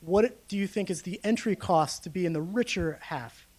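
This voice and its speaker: A man's voice: sits high, moderate pace, darkish tone. A man gives a public lecture as a guest researcher, explaining data to a room in a conversational, informal way and checking in with his listeners. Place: speaking live to a room of listeners.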